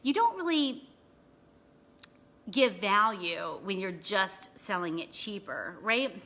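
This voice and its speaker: A woman's voice: mid-range voice, sharp tone. A woman in her thirties talking casually to a phone camera from her couch at home, chatty and full of "right?" and "you know". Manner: chatty, many fillers and "right?" tags. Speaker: small business coach. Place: living room at home, seated on a couch. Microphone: phone camera at arm's length.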